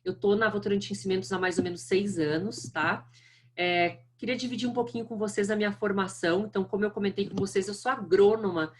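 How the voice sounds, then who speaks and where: A middle-aged woman speaks with a strong southern Brazilian accent, her pitch sitting in an ordinary middle range. She gives a guest lecture in a friendly, conversational way, often checking in with her listeners. It comes through a video call. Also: steady tone, fast, a little hiss or hum. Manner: friendly, chatty, frequent "tá?" and "né". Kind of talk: online lecture.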